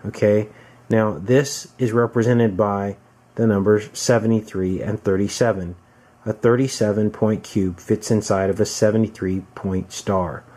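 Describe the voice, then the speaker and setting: A man's voice: lowish voice, fairly even intonation, a little slow, slightly rough. A man giving an earnest explanatory commentary over film clips, addressing his listeners as a host would. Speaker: host and narrator of conspiracy videos. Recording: loud music behind the voice. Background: loud background music.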